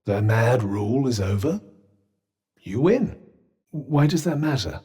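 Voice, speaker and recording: A man's voice: mid-range voice, animated, measured pace, warm tone, husky. An actor voicing a sentient magical cuff in a video game, a dry, witty and protective male companion with a British accent and a formal, well-spoken, advising manner. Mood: calm, dry. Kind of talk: scripted game dialogue. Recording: long reverberant tail on voice.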